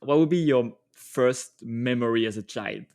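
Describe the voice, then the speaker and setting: A man's voice: medium pitch, a little slow, a little mellow. A man hosting a podcast, delivering scripted introductions and interview questions. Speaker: podcast host.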